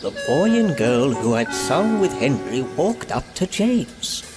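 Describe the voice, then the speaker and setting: Male narrator for a scripted storybook tale, expressive mid-pitched voice, loud music bed. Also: slow pace.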